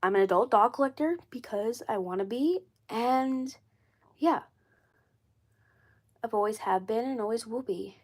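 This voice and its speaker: A woman in her mid-twenties talks casually on a phone livestream, her voice froggy and hoarse from a cold. She rambles, circles back and repeats herself, sometimes a little defensive. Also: on the high side, a little slow, long pauses. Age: 20s. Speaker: doll collector livestreaming.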